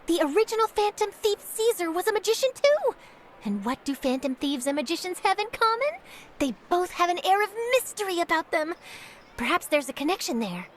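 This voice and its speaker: A woman voicing an eager young female news reporter who tells stories briskly and dramatically with great self-assurance, over game music. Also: very high voice, a little hiss or hum. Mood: excited. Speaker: journalist. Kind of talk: game cutscene dialogue.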